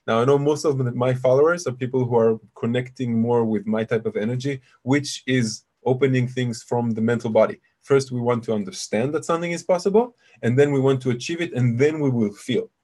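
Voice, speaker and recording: A man with a deep voice hosting a live webinar, chatting and explaining to his online audience in a casual, teacherly way. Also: brisk, few pauses, mellow. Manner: chatty, explanatory, addresses audience as "you guys". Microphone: computer mic on a video call.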